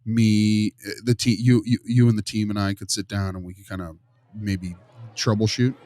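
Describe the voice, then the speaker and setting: A man playing a friendly, tactful school consultant in a role-play, halting and often rephrasing his questions. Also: fairly low pitch, little pitch movement, dark tone, natural voice. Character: friendly, tactful male school behavior consultant probing gently. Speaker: visiting behavior consultant.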